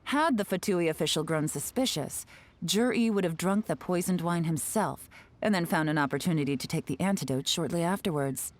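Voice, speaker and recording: A woman's voice: on the low side, moderate pace, close to an everyday voice. A woman voicing a composed, calculating female spy in a video game, speaking in a wry, self-assured way. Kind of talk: game cutscene dialogue.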